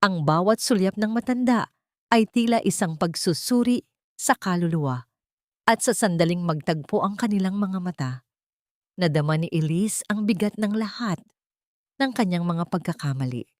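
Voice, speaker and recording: A woman reading a scripted, dramatic love story in a measured, solemn, melodramatic way. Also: lowish voice, darkish tone, no room sound, studio quality. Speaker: story narrator.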